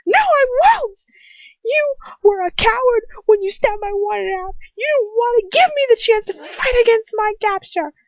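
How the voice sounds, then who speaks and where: A woman's voice: extremely high pitch, lively intonation, normal speed, voice pushed up. A woman voicing a furious, anguished teenage girl captive in raw emotional outbursts for an amateur audiobook. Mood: screaming rage. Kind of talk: amateur fan fiction audiobook acting. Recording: muffled roomy home recording.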